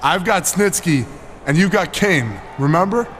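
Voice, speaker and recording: A man's voice: high voice, expressive, a little slow, fairly bright tone, a little hiss or hum. A man voicing a cocky, vain muscleman wrestler in a video game cut scene, boastful, smug and showy. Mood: feigned innocence. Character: cocky vain male muscleman wrestler, self-styled masterpiece.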